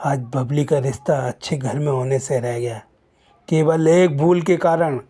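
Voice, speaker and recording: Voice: medium pitch, steady tone, measured pace, warm tone, husky. A narrator voicing a bitter middle-aged mother in a storybook tale, scolding and lamenting with insistent questions. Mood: bitter reproach.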